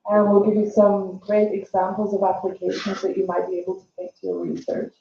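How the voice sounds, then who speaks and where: A woman's voice: mid-range voice, level tone, moderate pace, warm tone, slight background noise. A woman giving a brief, friendly greeting as an invited speaker at a workshop. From England. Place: small amphitheater. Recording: distant, roomy, dull livestream audio.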